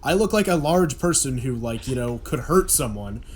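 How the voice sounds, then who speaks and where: Casting note: young man, deep voice, rambling self-deprecating podcast chat, mid pitch. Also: lively intonation, moderate pace, slight background noise. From Oklahoma. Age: young adult.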